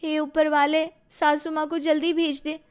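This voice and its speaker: A woman voicing an innocent, dutiful younger daughter-in-law, earnest and a little plaintive, in an animated family story. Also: sits high, a little slow. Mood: exasperated.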